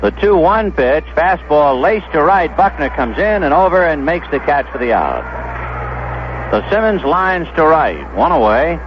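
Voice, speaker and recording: Lively male baseball announcer on lo-fi radio. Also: a little high, a little slow, a little hiss or hum. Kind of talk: radio sports commentary. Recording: dull lo-fi 1970s AM radio broadcast.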